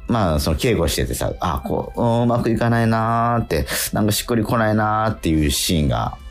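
Low-voiced, lively man hosting radio. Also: brisk, faint music behind. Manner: earnest, self-questioning, with frequent affirming interjections. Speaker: radio show host and stage actor. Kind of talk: casual radio talk between actors.

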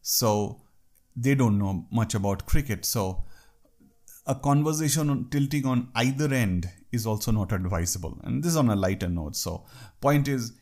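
A man's voice: on the low side, a little mellow. A man from India with an Indian English accent, talking through workplace etiquette in a conversational way with wry asides. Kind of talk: talk on workplace culture.

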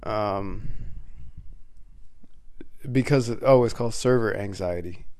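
A man with a scratchy, slightly congested voice talks through a solo podcast episode, wandering from thought to thought with plenty of restarts. His voice sits low and mellow. He sounds relaxed and unscripted. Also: slow pace. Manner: rambling, frequent restarts and fillers. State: scratchy voice from a cold. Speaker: podcast host; waiter. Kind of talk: casual solo podcast monologue.

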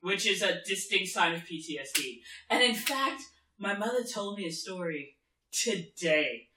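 A man's voice: high voice, animated, unhurried, background noise. A man chatting casually as a podcast co-host, emphatic and opinionated, repeating phrases as he builds his point, in a roomy space. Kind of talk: casual TV discussion chat. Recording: roomy, echoey room sound.

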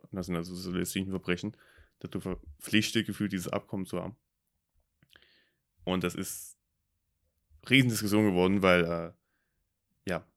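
A young man from Germany co-hosts a casual podcast chat. His voice sits low, and he thinks aloud in a hesitant, reflective way, full of fillers and restarts. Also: a bit bright. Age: about 20. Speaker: podcast co-host. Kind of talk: casual discussion.

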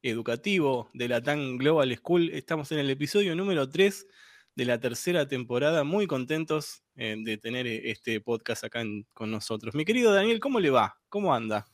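A man's voice: medium pitch, lively intonation, moderate pace, dry recording, clean studio sound. Chatty male podcast host. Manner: loose, chatty, with many filler words. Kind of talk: casual podcast interview.

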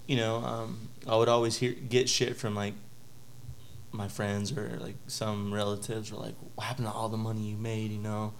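A man's voice: on the low side, little pitch movement, moderate pace, vocal fry, slight background noise. A young man talking casually as a guest, rambling with lots of fillers and restarts. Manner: laid-back, rambling with many fillers. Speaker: podcast guest. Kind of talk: casual chat.

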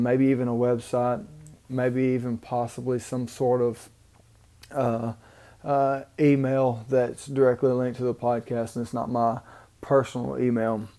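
A man's voice: medium pitch, warm tone. Rambling Southern man from Mississippi. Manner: rambling, halting, with many ums and restarts. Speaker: podcast host. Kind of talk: casual solo podcast monologue. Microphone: home microphone, amateur setup.

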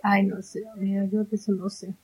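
Mellow, husky young woman chatting. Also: medium pitch, little pitch movement, fairly quick, rough recording. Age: young adult. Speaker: podcast co-host. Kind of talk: casual bantering chat with a friend.

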